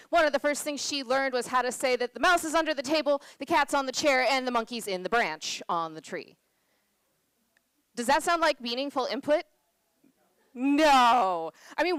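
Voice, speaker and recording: A woman in her thirties from the United States presents at a conference in a chatty, self-deprecating way, with plenty of fillers. Her voice sits a little high and sounds smooth and crisp. The sound is dry and close. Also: a bit bright, dry recording. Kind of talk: conference talk. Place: conference room.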